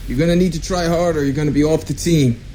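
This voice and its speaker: An actor playing a stern, exasperated college soccer coach in an audio drama. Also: male voice, fairly high pitch, fairly even intonation, fast talker, mellow, a little hiss or hum, close to an everyday voice. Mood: commanding.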